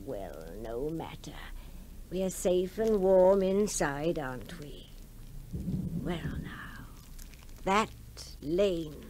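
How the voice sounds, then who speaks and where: An actress playing an old granny telling spooky tales in a radio drama, with a hushed, halting delivery that swells dramatically. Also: female voice, fairly low pitch, sing-song, full character voice. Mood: fussing, cosy, then reminiscing. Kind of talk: 1960s radio drama storytelling. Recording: noisy 1960s radio broadcast recording.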